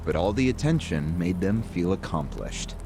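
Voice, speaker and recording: An actor playing a smug, calculating political schemer who explains things at length in a video game cutscene. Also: male voice, sits low, lively intonation, normal speed, noisy background. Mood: cynical, boastful. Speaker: character in a crime drama video game.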